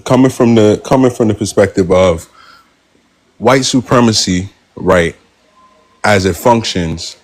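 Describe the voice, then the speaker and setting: A man from Brooklyn, New York, calling in with a sore throat, speaking in a measured, hesitant, polite way. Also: on the low side. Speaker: caller.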